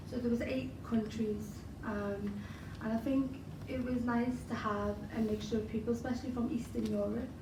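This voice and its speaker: A young woman with a Scouse accent, a poet answering interview questions in a chatty way with many fillers, whose first language was Arabic. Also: a little high. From Liverpool, England. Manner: chatty, frequent fillers and you-knows. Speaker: interviewee, spoken word poet. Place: large event hall. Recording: roomy hall recorded from a distance with noise.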